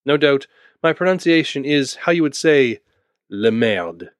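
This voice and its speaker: A man's voice: a little high, steady tone, normal speed, clean tone, no room sound, studio quality. A man from Ontario narrating a scripted history podcast, with wry asides to the listener. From Ontario, Canada. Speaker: podcast host.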